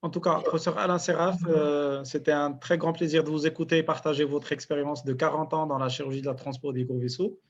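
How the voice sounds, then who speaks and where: A man's voice: fairly high pitch, steady tone, fairly quick, few pauses. A man moderating a medical webinar, polite and somewhat hesitant with fillers. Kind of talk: formal moderating. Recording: dull lo-fi sound.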